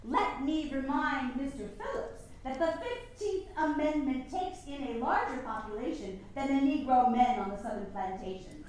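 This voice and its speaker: High-voiced imperious suffragist played by an actress, echoey. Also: female voice, animated, normal speed, hardly any pauses, a little mellow. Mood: indignant, imperious. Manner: formal, emphatic, imperious. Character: haughty, combative 19th-century suffragist leader, Elizabeth. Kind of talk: staged play reading. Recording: very echoey room, faint noise.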